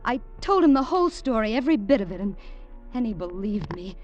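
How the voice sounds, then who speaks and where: A woman's voice: a little high, big pitch swings, fairly quick, a little mellow, highs cut off. An actress playing a frightened young woman who narrates her ordeal and stammers in a 1950s radio drama. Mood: pleading. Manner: breathless, stammering, tense. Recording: old radio drama with music underneath.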